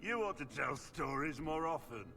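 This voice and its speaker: A man's voice: fairly high pitch, expressive, normal speed, sharp tone. A man playing an easygoing, genial storyteller companion in a video game, talking in a wry, conversational way. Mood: wry, friendly. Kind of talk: video game dialogue acting.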